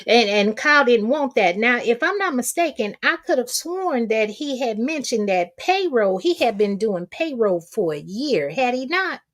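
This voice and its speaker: A woman's voice: on the high side, big pitch swings, moderate pace, few pauses. A woman hosting a casual gossip chat, prompting her guest in a colloquial way. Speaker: host.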